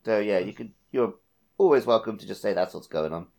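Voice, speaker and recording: A man's voice: on the low side, expressive, a little fast, gravelly, a little mellow. A man co-hosting a casual video game podcast, sometimes repeating words as he thinks aloud. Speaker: podcast co-host.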